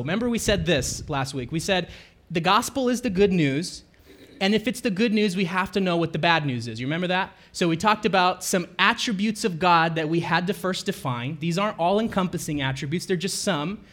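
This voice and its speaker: A man preaching to his congregation in a relaxed, conversational way, often correcting himself and checking in with listeners, on a microphone through a church sound system. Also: sits high, fairly quick. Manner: conversational, self-correcting, asks the congregation questions. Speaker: pastor. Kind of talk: sermon. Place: church sanctuary.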